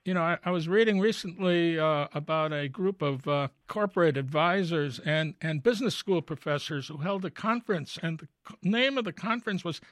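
A man's voice: fairly high pitch, brisk, fairly bright tone, no room sound, clean studio sound. A man hosting a radio interview, speaking conversationally and with rising emphasis as he makes points. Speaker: radio host.

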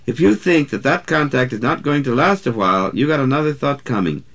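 Man reading as a stern, gravelly, no-nonsense big woman. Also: mid-range voice, animated, fairly quick, fairly bright tone, pitched above the performer's own voice. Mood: firm, motherly. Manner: scolding, folksy dialect phrasing. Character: large, stern, no-nonsense motherly older city woman.

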